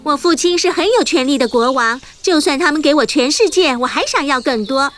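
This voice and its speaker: A vain young princess boasts in a high, haughty voice, as a woman acts her out. She speaks in a snobbish cartoon manner over soft music. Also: animated, brisk. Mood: boastful. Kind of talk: cartoon dubbing.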